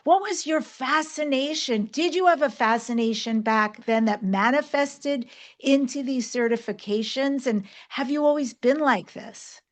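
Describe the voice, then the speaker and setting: A woman hosts a podcast in a fairly high-pitched voice. She is from New York and addresses people directly, leaning on key words as she goes. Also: unhurried. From New York, United States. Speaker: podcast host. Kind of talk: podcast hosting, announcements and interviewing.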